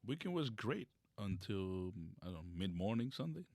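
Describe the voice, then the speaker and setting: Very animated man on a podcast. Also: on the low side, normal speed, dry recording. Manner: joking. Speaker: podcast co-host. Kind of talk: casual chat.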